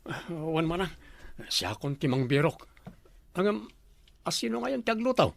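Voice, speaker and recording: A man with an Ilocano accent pleads in a fairly high voice. His pitch moves a lot. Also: sing-song, moderate pace, vocal fry.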